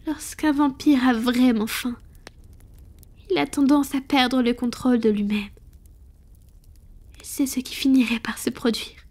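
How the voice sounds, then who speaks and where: Casting: tender, morally torn vampire lady played by a woman, high breathy voice, whispered intimate ASMR roleplay delivery. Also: fairly even intonation, darkish tone. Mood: troubled, reflective. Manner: slow, intimate, hesitant. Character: tender, conflicted wealthy female vampire confiding in her beloved.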